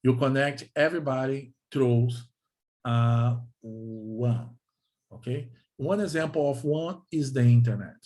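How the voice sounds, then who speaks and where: A male lecturer with a Brazilian accent and Portuguese as his first language explains technical material. His voice sits at an average pitch. He proceeds haltingly in non-native English, restating phrases and pausing to check that listeners follow. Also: a little mellow. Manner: halting, repeats and checks with "okay?".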